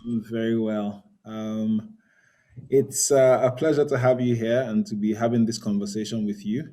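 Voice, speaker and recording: A man's voice: on the low side, steady tone, warm tone. Polite male interview host. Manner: measured, polite, with frequent ums. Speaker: podcast host. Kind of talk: conversational interview.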